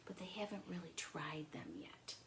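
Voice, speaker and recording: A woman hosting children's storytime, telling stories and chatting with young listeners in an expressive, encouraging way. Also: fairly low pitch, moderate pace, a little hiss or hum. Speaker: children's storytime host and teacher. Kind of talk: animated storytelling and chat for children.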